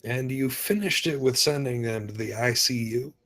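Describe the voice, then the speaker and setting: A man acts a police detective who probes and doubts in a screenplay table read. His voice is middling in pitch, neither deep nor high, and carries suspicion. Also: moderate pace, fairly bright tone, lightly acted. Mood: suspicious, probing.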